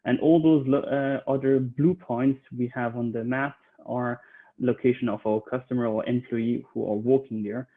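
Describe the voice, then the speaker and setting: A man's voice: mid-range voice, steady tone, normal speed. A man from Switzerland, a recruiter, giving an online conference presentation from his home office, hesitant with many fillers and restarts. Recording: tinny phone-like audio.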